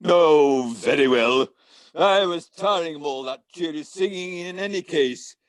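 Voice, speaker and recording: A grumpy, imperious pantomime villain, played by a man, speaks in a high male voice. The delivery is slow, theatrical and exasperated, as from someone rich and used to being obeyed. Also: natural voice. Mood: grudging, exasperated. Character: grumpy, impatient wealthy villain parent seeking a missing son. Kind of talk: amateur pantomime acting.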